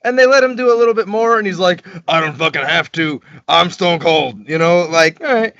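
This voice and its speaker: A man's voice: a little high, fairly bright tone, no room sound, muffled recording. A man talking loosely and profanely about wrestling on a video call, joking as he goes. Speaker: wrestler guest. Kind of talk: casual video call chat. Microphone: video call webcam.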